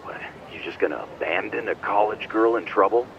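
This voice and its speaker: A man's voice: on the low side, brisk, vocal fry. An actor playing a sly male business-owner buddy in a video game cutscene, coaxing and teasing. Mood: pressing. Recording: dull band-limited voice over vehicle noise.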